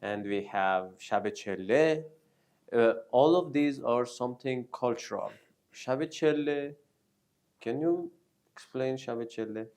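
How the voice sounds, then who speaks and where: A man with a Persian accent, a native Persian speaker co-hosting a casual chat, slow and hesitant with many fillers. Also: mid-range voice, a little mellow. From Iran. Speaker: co-host.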